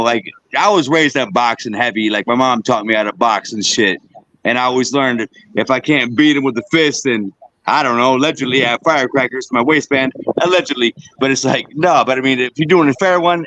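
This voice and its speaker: A man's voice: mid-range voice, a little fast, fairly bright tone, dry recording, highs cut off. A man hosting a casual livestream chat, joking and swearing, dropping in bits of Spanish. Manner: joking, profane, slips in Spanish. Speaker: livestream host. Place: home with a balcony.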